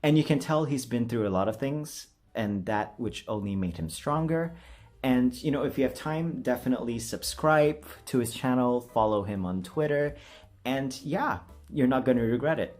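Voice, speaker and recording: A man's voice: medium pitch, moderate pace, darkish tone. A man chatting casually as a video creator, rambling in a jokey, self-deprecating way. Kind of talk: casual rambling vlog chat.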